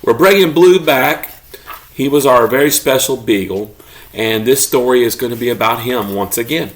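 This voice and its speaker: A man's voice: medium pitch, moderate pace, slight background noise, rough recording. A man speaking as a father presenting a children's book read-aloud with his child.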